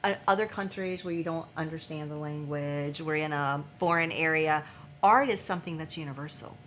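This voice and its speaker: A woman talk show host with a low, bright, forward voice. She speaks in a gushing, emphatic interviewing style, over some background noise and room ambience. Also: normal speed.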